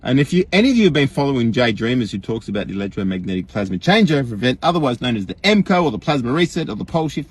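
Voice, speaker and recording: A man puts on an earnest Australian guru addressing his online followers. The voice is husky and swings widely in pitch. The delivery is folksy and emphatic, rambling along as a livestream rant full of rhetorical questions. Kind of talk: mock livestream monologue.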